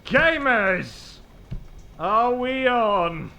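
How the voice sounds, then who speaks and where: A man playing an excitable, theatrical gamer in a comedy skit, switching between bombastic announcing and offhand asides. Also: very high voice, extremely slow, vocal fry, slight background noise, full character voice. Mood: bombastic, excited. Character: excitable, theatrical male gamer recording his show intro. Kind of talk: comedic animated audio skit.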